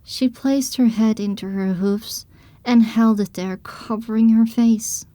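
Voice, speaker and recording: A woman reading scripted first-person narration as the heroine of an audio drama, recounting her dark adventure. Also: fairly high pitch, steady tone, a little slow, no room sound, natural voice. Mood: somber recounting.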